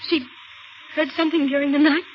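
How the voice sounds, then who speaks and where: An actress playing a shaky, frightened young woman in a 1940s radio drama, with halting, stammering delivery. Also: sits high, fairly even intonation, a little hiss or hum, close to an everyday voice. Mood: shaken. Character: frightened, shaky young woman insisting she saw a corpse. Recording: muffled old radio broadcast with music.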